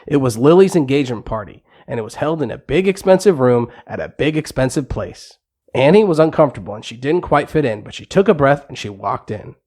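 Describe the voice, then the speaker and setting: A man's voice: mid-range voice, expressive, fairly quick, darkish tone. A man narrating a scripted bedtime story for kids.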